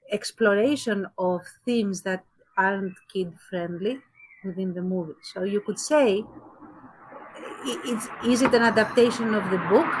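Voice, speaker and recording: A woman's voice: mid-range voice, slightly rough, poor audio. A woman co-hosting a podcast, giving her opinions in a casual discussion and thinking aloud as she qualifies her points. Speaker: podcast co-host.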